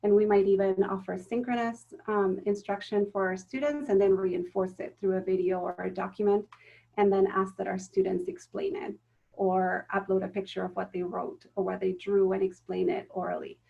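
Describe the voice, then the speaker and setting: A woman's voice: medium pitch, flat delivery, normal speed, creaky, mellow, highs cut off. A woman giving a recorded talk to teachers, explaining step by step with frequent ums and self-corrections. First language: Spanish. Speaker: teacher trainer.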